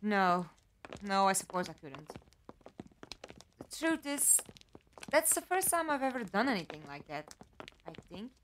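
A woman's voice: mid-range voice, big pitch swings, unhurried, long pauses, fairly bright tone, a little hiss or hum. A woman acting a composed, logical amnesiac captive in a fan-made audio drama, with dry, formal wording. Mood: dry, reproachful.

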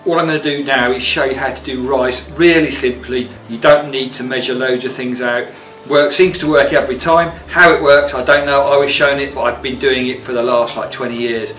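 Bright-voiced man teaching cooking. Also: mid-range voice, steady tone, a little fast, few pauses, recorded from a distance. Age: middle-aged. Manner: plain-spoken, informal, instructional. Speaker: chef and cookery teacher. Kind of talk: casual cooking demonstration. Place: indoor commercial kitchen with steel surfaces. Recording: roomy, off-mic sound with music.

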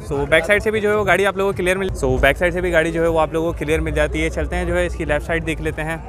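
A man's voice: on the high side, fairly even intonation, racing. A man, hosting a car market vlog in a repetitive, explanatory patter. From Pakistan. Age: 20s. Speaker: host, car market reviewer. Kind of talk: vlog walkthrough and short interviews. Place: outdoor open car market. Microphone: phone at arm's length. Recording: busy outdoor street market chatter.

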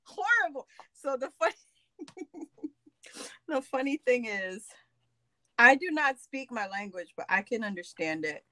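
A woman's voice: fairly high pitch, very animated, unhurried, pauses often, bright, forceful tone. A woman hosting a live craft session over a video call, chatting playfully and laughing often. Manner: giggly, playful, laughs a lot. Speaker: craft show host. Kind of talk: casual chat while crafting. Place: home craft table, indoors.

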